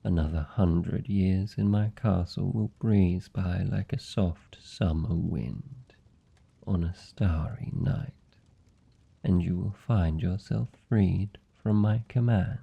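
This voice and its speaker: A man playing a haughty, seductive vampire lord in a fantasy ASMR roleplay, with a British accent, a low gravelly husky voice and a deliberate, teasing, condescending delivery. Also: dull audio, heavily acted. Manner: slow, deliberate, aristocratic, teasing.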